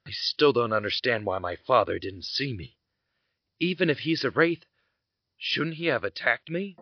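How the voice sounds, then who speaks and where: An actor playing an earnest, defiant young soldier, delivered dramatically in an audio drama. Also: male voice, medium pitch, lively intonation, moderate pace, breathy edge, no room sound. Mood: uneasy. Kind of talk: audio drama acting with narration. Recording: muffled, loud music behind.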